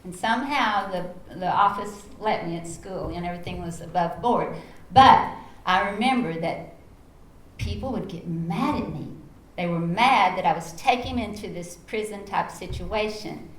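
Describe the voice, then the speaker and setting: A middle-aged woman with a Texas accent, speaking on a conference panel and telling stories from her teaching in an animated way. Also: fairly low pitch, normal speed. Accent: Texan. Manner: animated storyteller, acts out her own quoted lines. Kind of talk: panel talk, personal storytelling. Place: conference room. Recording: echoey room, faint background noise.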